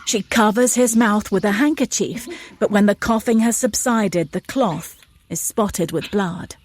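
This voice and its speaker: A woman with a husky voice, narrating an audio drama as scripted scene description. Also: medium pitch, measured pace.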